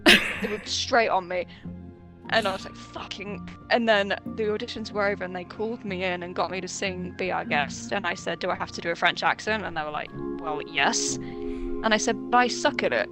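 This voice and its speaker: A woman's voice: lowish voice, normal speed, over music. A young woman chatting casually, telling stories with swearing and nervous laughs. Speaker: voice actress guest. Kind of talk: casual chat.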